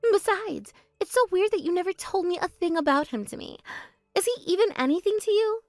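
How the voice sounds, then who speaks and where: A woman's voice: extremely high pitch, animated, brisk, no room sound. A woman voicing a bratty, boastful younger sister who mocks and brags in a voice drama. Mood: indignant. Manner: mocking, spiteful bragging. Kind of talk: voice drama, one performer.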